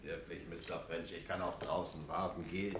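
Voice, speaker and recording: An actor playing a nervous neighbor who speaks hesitantly, in 1960s radio drama style. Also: male voice, low voice, level tone, normal speed, slight background noise. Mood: uneasy. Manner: hesitant, quietly uneasy.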